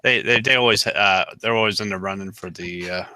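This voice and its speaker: A man's voice: deep voice, a little fast, pushed and bright, no room sound. A man from Ohio chatting casually, hesitant and full of ums and uhs. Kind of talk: casual group chat.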